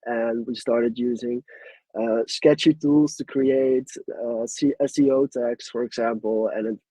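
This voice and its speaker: Husky mellow-voiced Dutch man presenting. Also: mid-range voice, fairly even intonation, a little slow, no echo, low-quality recording. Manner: casual, hesitant with frequent fillers. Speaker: guest presenter. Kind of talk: webinar presentation.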